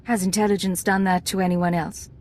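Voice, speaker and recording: A woman's voice: fairly low pitch, level tone, fairly quick, darkish tone, lightly acted. An actress playing a cool, wry female secret agent in a video game, with a controlled, dry and confident delivery. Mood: cool, questioning.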